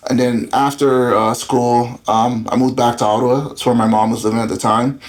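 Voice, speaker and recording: A man's voice: medium pitch, fairly even intonation, normal speed, poor audio. Candid rambling man in his thirties. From Florida. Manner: rambling, candid, filler-heavy. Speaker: guest entrepreneur. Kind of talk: casual interview chat.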